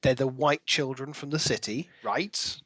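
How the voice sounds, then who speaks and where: Guy chatting about a book on a podcast, thinking out loud, mid-pitched voice, really dry close sound. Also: measured pace, no echo. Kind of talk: casual discussion of a novel.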